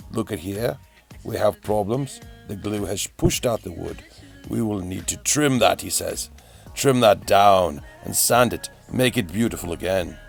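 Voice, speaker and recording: A man giving a melodramatic, whimsical storybook-style narration of a DIY build, repeating phrases for effect, in a gravelly voice. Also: sits low. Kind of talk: theatrical storybook-like narration of a hobby build video. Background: music.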